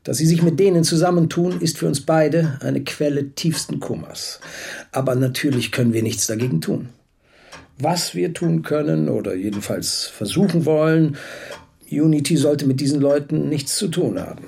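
An indignant aristocratic father in an audio drama. His voice sits fairly high for a man and is full of gravelly creak. He speaks bluntly and gravely, bitterly appalled by his daughters. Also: normal speed, mellow. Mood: bitter indignation.

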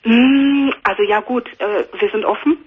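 A woman's voice: on the high side, moderate pace, slightly rough. A woman calling a radio show, talking hesitantly with many fillers but in an open, friendly way. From Cameroon. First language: German. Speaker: caller. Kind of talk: casual phone conversation. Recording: muffled phone line on radio.

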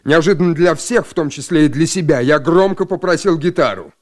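Man playing reflective naval officer, earnest radio drama narration, measured delivery, guitar music behind. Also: on the high side, animated, a little mellow, lightly acted. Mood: earnest, stirred. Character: reflective Soviet submarine officer telling his own story.

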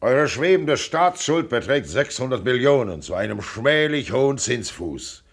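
Radio play: self-assured older millionaire, gravelly and blunt, clipped commands, swinging intonation. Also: male voice, mid-range voice, sing-song, a little slow. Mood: commanding. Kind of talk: radio drama acting.

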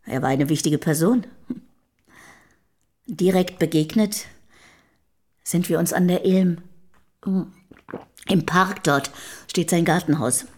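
An actress playing a guarded, down-to-earth middle-aged housekeeper of the early 1800s in a radio play, speaking plainly and tersely. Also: female voice, lowish voice, slow, long pauses, darkish tone. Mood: plain, reserved. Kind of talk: German radio play acting.

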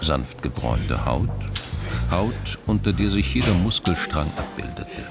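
A male actor narrating a crime radio drama in a measured, terse, dry and observant way. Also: deep voice, sing-song, vocal fry, loud music behind the voice, heavily acted.

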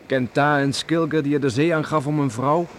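An actor playing a loyal, steady young seafarer and farmer son in a Dutch radio drama, earnest and respectful. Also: male voice, on the high side, moderate pace, close to an everyday voice. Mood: reassuring. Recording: slightly noisy old radio play recording.

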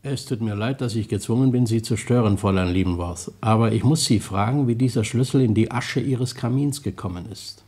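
An actor playing a courteous, slyly ironic police detective in a German radio crime drama, speaking in a measured way. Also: male voice, on the low side, breathy edge. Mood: courteous, firm. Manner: polite, measured, gently ironic.

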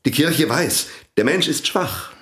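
A man's voice: expressive, measured pace, fairly bright tone. An actor playing a domineering priest who preaches about the language he invented, pompous and zealous. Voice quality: pompous, preaching, sweeping pitch. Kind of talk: radio play acting. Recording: echoey studio radio play.

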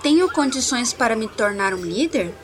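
Woman acting a high, lively, curious young boy. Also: moderate pace, natural voice. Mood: questioning. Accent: Brazilian. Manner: eager, direct questioning. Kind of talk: scripted audio story acting.

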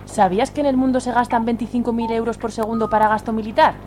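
A woman's voice: fairly high pitch, steady tone, fast talker, very noisy. A woman from reading a scripted radio promo as its announcer. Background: loud music and sound effects.

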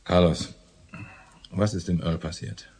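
An actor playing a terse, skeptical police lieutenant in a German radio drama, asking curt, probing questions. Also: male voice, low voice, fairly even intonation, unhurried, a little mellow, husky.

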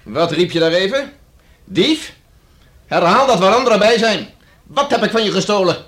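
A theatrical, sarcastic husband. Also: male voice, high-pitched, expressive, moderate pace, a bit bright. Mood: indignant. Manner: ironic, indignant. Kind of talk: Dutch radio play acting.

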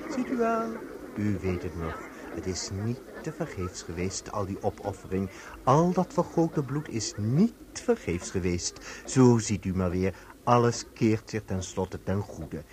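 An actor playing an officious, erratic inspector of lost souls in an absurdist radio play, lurching between soothing and bullying. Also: male voice, fairly low pitch, sing-song, unhurried, darkish tone, a little hiss or hum. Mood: satisfied, oily.

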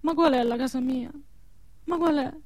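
A woman's voice: high-pitched, moderate pace, darkish tone, dry recording. An actress playing an anguished, protective peasant woman amid war ruins in a radio play, plaintive and insistent, with a central-southern Italian dialect. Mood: bewildered, grieving. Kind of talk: emotional radio theatre acting in dialect.

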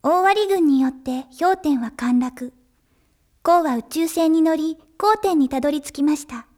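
A woman's voice: high voice, a little slow, a little mellow. A woman voicing a young girl who narrates an anime recap in a polite storytelling manner. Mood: narrating.

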